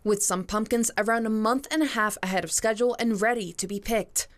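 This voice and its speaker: A woman's voice: on the high side, a little fast. A woman narrating a scripted television news report as a reporter.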